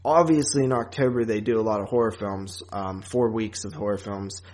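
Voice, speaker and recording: A man's voice: lowish voice, fairly even intonation, a little fast, darkish tone. A man hosting a podcast in casual chat, rambling along informally and chuckling often. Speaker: podcast host. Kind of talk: casual chat about horror movies and podcasting.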